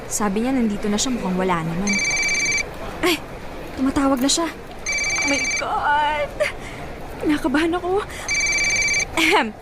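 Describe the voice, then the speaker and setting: A woman's voice: sits high, wildly swooping pitch, measured pace, long pauses, heavy background noise, full character voice. A woman voicing a shy young woman in love who gets flustered and scolds playfully. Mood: bashful. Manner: bashful, laughs at teasing, scolds playfully. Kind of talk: radio drama acting. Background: background music.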